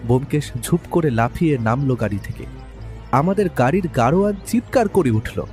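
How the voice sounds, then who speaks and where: Male narrator for suspense audio story, very lively pitch, mellow timbre, faint music underneath. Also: normal speed. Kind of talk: dramatic scripted first-person narration.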